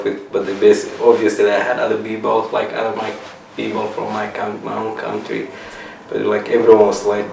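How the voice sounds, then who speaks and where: A young man from Somalia speaks in a low, gravelly voice that stays almost flat in pitch. He tells his story haltingly, restarting as he looks for words. The room echoes and the mic sits a bit far off, with faint hiss. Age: young adult. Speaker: interviewee. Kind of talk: personal storytelling interview. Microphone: set up mic in room.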